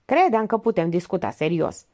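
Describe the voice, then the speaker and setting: A woman voicing a sharp, sarcastic older female amateur detective who needles a suspect with pointed, mocking remarks. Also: on the low side, big pitch swings.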